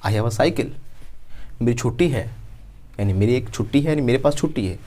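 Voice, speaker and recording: A man's voice: medium pitch, lively intonation, moderate pace, warm tone. A man in his thirties teaching a spoken English lesson, acting out little everyday scenes as he goes. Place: dark studio.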